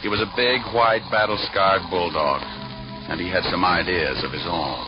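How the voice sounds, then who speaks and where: Deep-voiced man acting a hard-boiled detective, wry, deadpan, 1940s radio noir, muffled vintage recording, music behind. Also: moderate pace, natural voice. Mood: wry, tense. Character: wisecracking hard-boiled male private detective. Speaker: lead actor and narrator.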